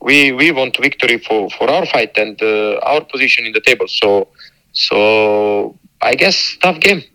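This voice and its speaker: Coach, male, bright tone, guarded and halting answers. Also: mid-range voice, expressive, low-quality recording. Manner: halting, repeats words, careful and guarded. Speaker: football coach. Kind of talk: phone interview.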